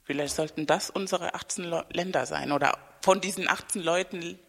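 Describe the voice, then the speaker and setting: A woman co-hosting a radio chat, telling anecdotes in a lively, casual way. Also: fairly low pitch, normal speed, gravelly, long reverb tail. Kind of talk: casual conversation, storytelling.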